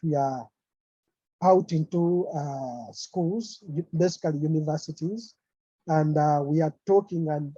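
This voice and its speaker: A man from Uganda presents over a video call, pausing often with fillers. His voice is very dark and husky. The audio is dry and dull, like a lo-fi call. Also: on the high side, dry recording. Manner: hesitant, many fillers. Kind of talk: online conference presentation.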